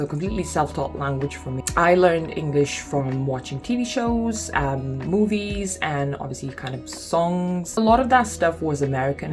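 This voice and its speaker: A young woman from Lithuania with a Lithuanian accent, chatting casually to camera in a home vlog, with lots of ums and exasperated asides. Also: low-pitched. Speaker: vlogger. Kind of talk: casual talking-head vlog.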